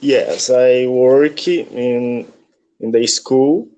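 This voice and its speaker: Slow, halting man with a Brazilian accent. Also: mid-range voice, steady tone, dark tone, poor audio. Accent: Brazilian Portuguese. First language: Portuguese. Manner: halting, searching for words. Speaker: English student. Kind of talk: online English lesson conversation.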